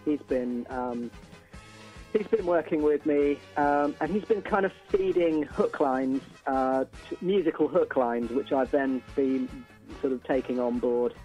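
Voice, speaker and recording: Mid-pitched man, halting interview guest. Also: warm tone, soft background music, low-quality recording. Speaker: interview guest, band singer and songwriter. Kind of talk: casual chat.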